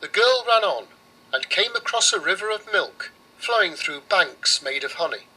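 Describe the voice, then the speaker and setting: A man narrating a children's storybook in a measured, expressive way. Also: sits high, husky, low-quality recording.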